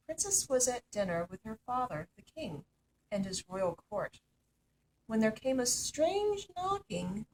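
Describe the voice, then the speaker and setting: A woman reading a children's story aloud as an expressive narrator. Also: mid-range voice, vocal fry, slight background noise, poor audio. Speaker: storytime reader.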